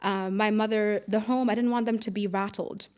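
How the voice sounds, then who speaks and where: Podcast guest: woman from the UAE, mid-pitched, informal conversational explainer and storyteller. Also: steady tone, normal speed, a little mellow, clear, resonant tone. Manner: informal, explains with everyday analogies. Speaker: podcast guest, air traffic controller.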